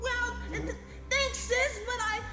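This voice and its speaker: Woman as very shrill, bratty young boy. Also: steady tone, takes it slowly. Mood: sheepish. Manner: whiny, sarcastic. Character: bratty, sulky young boy, exasperated little brother. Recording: echoey hall sound under loud music.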